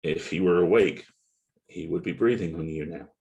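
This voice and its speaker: A man acting a brooding, guilt-haunted narrator in an audio drama read, with a measured, solemn, literary delivery. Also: sits low, a little mellow, lo-fi sound, natural voice. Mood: somber, brooding. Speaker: voice actor at a table read.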